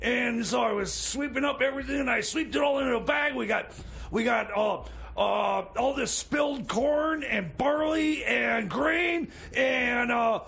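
A man playing an excitable, bumbling hillbilly mechanic in radio comedy, stammering and rambling in a strained voice. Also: unhurried, shouting, full character voice. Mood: excited, flustered. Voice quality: high, strained, creaky. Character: excitable bumbling hillbilly time machine mechanic and moonshine schemer. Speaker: radio show sidekick.

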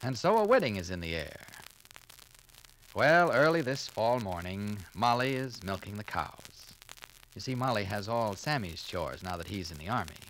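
Bright jovial 1940s radio announcer man. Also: lowish voice, animated, a little hiss or hum, rough recording. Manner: brisk, jovial salesman patter.

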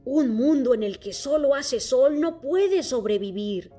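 A woman voicing a wise, worried king from another planet in a children's story, speaking slowly and earnestly. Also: high voice, lively intonation, warm tone, soft background music, pitched above the performer's own voice. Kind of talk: storybook character voice.

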